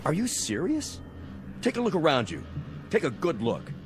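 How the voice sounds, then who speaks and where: Menacing, mocking major, very animated delivery, slangy and commanding, dramatic score underneath. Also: male voice, on the high side, normal speed, music in the background. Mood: scornful. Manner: sarcastic, theatrical, slangy, barking orders. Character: mocking, domineering military major, cynical and menacing.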